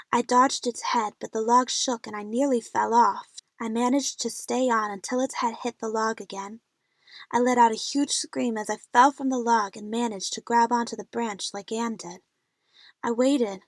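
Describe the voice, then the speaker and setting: A woman's voice: fairly high pitch, no room sound. A woman playing a frightened young female adventure fan, narrating her own jungle ordeal in a scripted audio drama. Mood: fearful, suspenseful.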